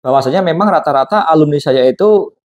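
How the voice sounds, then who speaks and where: A man's voice: a little high, fast. A man hosting a casual interview, prompting his guest with many questions and tag phrases. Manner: chatty, prompting questions with frequent tags. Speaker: host and hypnosis teacher.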